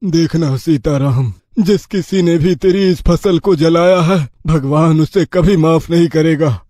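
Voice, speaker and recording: A man playing a sly village servant in a cartoon story, flattering and coaxing with false sympathy. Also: a little high, measured pace, no room sound, studio quality. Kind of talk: Hindi cartoon moral story dialogue.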